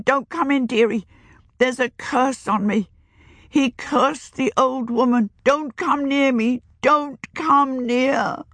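A frightened old witch woman pleads in a high, quavering voice, begging to die, as a woman narrator puts her on. The storybook voice sits well above the reader's own, and the dry close recording has no room sound. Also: fairly bright tone, dry recording, full character voice.

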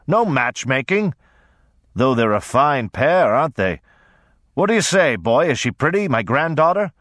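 A man's voice: medium pitch, big pitch swings, unhurried, a bit bright, dry recording, clean studio sound, pitched above the performer's own voice. A man voicing a brassy, bullying old grandfather lord in an audiobook, boastful and mocking in manner. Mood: jovial, goading. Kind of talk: audiobook character voice.

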